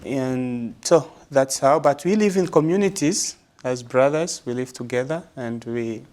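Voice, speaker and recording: A man speaks at an unhurried, middling pitch. He weighs his words and often stops to search for the next one. His answers come slowly and thoughtfully. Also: darkish tone. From Congo. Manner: measured and thoughtful, with frequent pauses and fillers. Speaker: guest, religious brother and psychologist. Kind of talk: interview answers.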